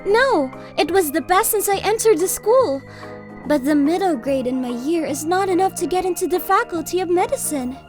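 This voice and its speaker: A kind, eager high school girl for a manga cartoon. Her voice is extremely high, and she speaks with humble, apologetic politeness. Light music runs underneath. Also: lively intonation, normal speed. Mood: downcast. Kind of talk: manga video character dialogue.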